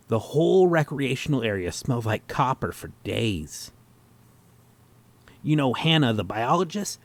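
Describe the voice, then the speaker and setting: A man's voice: mid-range voice, a little mellow. A man playing a naive, sentimental bro in a bunker commune, rambling casually and hesitantly through an audio comedy testimonial.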